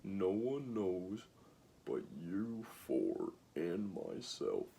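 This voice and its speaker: A man's voice: sits low, huge pitch swings, very slow, gravelly, warm tone, slight background noise, full character voice. A man voicing a timid little old humbug wizard from a storybook, trembling, meek and pleading. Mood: meek, hushed.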